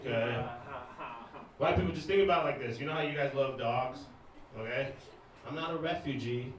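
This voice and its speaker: A man's voice: mid-range voice, moderate pace, slight background noise. A man originally from Iran doing a stand-up set on a club stage, chatting loosely with the crowd and throwing in asides. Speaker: stand-up comedian and DJ. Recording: very echoey club room, mic far off, crowd loud. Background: audience laughter and cheering.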